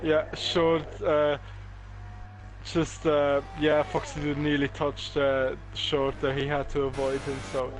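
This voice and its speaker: A man's voice: a little high, completely flat delivery, slow pace, rough recording. A man co-commentating a sim racing session, hesitant and full of fillers as he adds color remarks.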